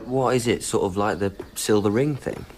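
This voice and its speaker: An actor playing a shy, awkward young film crew member, hesitant and diffident, in a naturalistic radio drama. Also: male voice, on the low side, moderate pace, a little mellow, a little hiss or hum, natural voice. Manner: hesitant, diffident, mumbling agreement.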